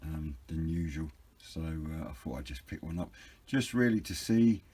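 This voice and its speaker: A man's voice: extremely low pitch, animated, moderate pace, darkish tone, breathy edge. A British man giving an informal, hesitant and understated hobby product review, rambling a little as he explains. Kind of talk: informal unboxing and review narration.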